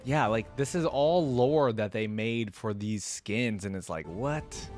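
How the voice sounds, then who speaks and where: A man's voice: fairly low pitch, slow, a little mellow, soft background music. A man giving casual, informal reaction commentary, often putting questions straight to his viewers. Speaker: reaction video host.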